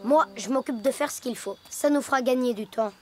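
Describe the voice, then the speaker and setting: High-pitched earnest boy hippo, voiced by a woman. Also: fairly quick, lightly acted. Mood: friendly, helpful. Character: helpful, earnest young boy hippo, handy and optimistic. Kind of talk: French cartoon dub acting. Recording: loud music behind the voice. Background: music.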